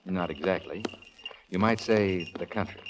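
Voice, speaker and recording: Actor as an easygoing romantic young sailor. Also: male voice, sits low, normal speed, a little hiss or hum, lightly acted. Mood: tender, coy. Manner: casual, playful. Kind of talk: 1940s radio acting. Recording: 1940s radio recording.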